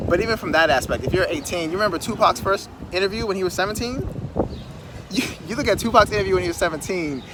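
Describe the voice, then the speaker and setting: A man in his thirties talking casually outdoors on a city curb, rambling and conversational with animated asides. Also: a little high. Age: 30s. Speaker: vlogger. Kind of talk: casual outdoor vlog monologue. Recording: faint street noise, wind on mic. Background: wind and passing traffic.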